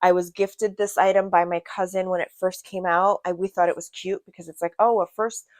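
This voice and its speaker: A woman's voice: on the low side, steady tone, a little fast, darkish tone, no echo. A woman from Brazil giving a casual product review to camera in a chatty, emphatic way, repeating words for emphasis. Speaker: beauty product reviewer and vlogger.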